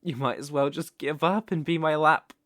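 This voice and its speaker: A man's voice: a little high, normal speed, a bit bright, no room sound, clean studio sound, close to an everyday voice. A British man playing a cruel, doting sorcerer in an ASMR roleplay, cooing baby talk with smug menace. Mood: teasing, condescending. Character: gloating, doting, cruel male sorcerer captor.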